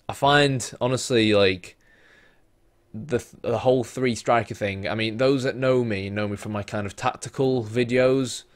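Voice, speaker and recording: Chatty man losing his voice. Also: mid-range voice. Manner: chatty, thinks aloud with many fillers, bursts into excited play-by-play. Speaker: gaming YouTuber. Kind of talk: casual football manager commentary.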